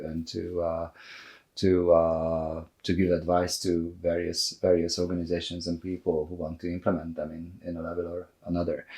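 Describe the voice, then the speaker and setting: Very deep-voiced, halting male podcast host. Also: a little mellow. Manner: halting, repeats words while forming sentences. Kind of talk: conversational interviewing.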